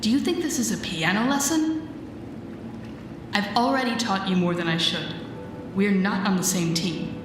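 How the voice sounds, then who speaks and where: A woman playing a telepathic villain, a guarded and intense mentor with a secretly tender side, in an intimate audio roleplay with slow, breathy, deliberate delivery. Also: mid-range voice, background noise, close to an everyday voice. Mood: bitter, accusing. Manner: slow, deliberate, often dropping into breathy near-whispers. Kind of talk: intimate audio roleplay acting addressed to the listener. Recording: roomy echo with music behind.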